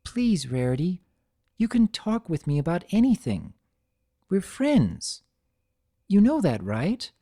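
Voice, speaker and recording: A performer voicing an earnest, concerned young female pony in a cartoon fan story, gently questioning a friend. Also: mid-range voice, huge pitch swings, takes it slowly, pauses often, voice pushed up, full character voice.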